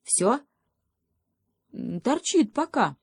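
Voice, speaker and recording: Woman acting a sly, high-voiced hedgehog trickster. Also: sing-song, slightly rough, no room sound, clean studio sound, exaggerated voice. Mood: mock-serious. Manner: deliberate, mock-grave, teasing. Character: sly, mock-serious male hedgehog, calm trickster.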